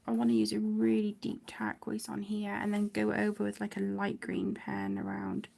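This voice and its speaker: A middle-aged woman chatting casually on a livestream, affectionate and apologetic, with phrases that trail off softly. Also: medium pitch, monotone, normal speed, creaky. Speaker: livestream host of an adult colouring channel. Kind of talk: casual livestream chat while colouring.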